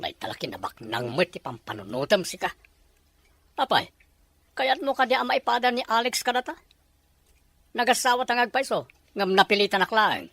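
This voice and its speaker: A woman's voice: medium pitch, very animated, normal speed, pauses often, a bit bright, no room sound. A woman playing a blunt, exasperated husband who talks reproachfully in a melodramatic audio drama. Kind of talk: melodramatic radio-style drama acting.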